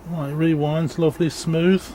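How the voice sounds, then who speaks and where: A man giving a casual, unscripted equipment demonstration in a matter-of-fact, halting way. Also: fairly high pitch, little pitch movement, dark tone. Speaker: presenter demonstrating audio equipment. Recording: clearly noisy amateur room recording.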